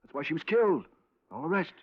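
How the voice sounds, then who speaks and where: An actor playing a nervous, guilt-ridden informant in a 1950s radio drama, urgent and jittery, repeating himself. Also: male voice, a little high, very animated, quick pace. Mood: insistent. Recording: dull vintage radio recording.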